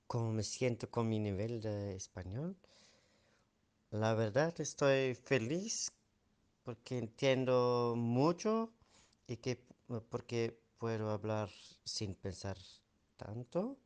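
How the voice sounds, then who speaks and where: A man's voice: mid-range voice, lively intonation, slow pace, darkish tone, clear, resonant tone. A man from Belgium with a Belgian Dutch accent, speaking as a Dutch native who learned the language later, answering interview questions hesitantly with many fillers and self-corrections.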